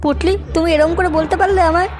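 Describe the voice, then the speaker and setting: A man voicing a hapless, hot-headed young troublemaker in an amateur comedy cartoon, whiny and excitable, with a raised-pitch effect. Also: fast, faint music behind. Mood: lovesick pleading.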